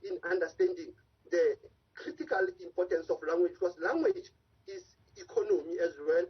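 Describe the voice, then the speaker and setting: A man's voice: high voice, very animated, moderate pace, breathy edge. A man, an Africa analyst and advocate, making impassioned, long-winded points as a guest in a remote news interview over a video call. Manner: impassioned, argumentative, speaks in long run-on points. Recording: dull lo-fi call audio.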